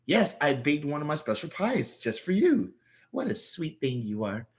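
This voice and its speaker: A man's voice: a little high, very animated, moderate pace, voice pushed up. A man voicing an eccentric old country grandmother in a comic story, homely, doting and tough. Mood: sweet, unbudging.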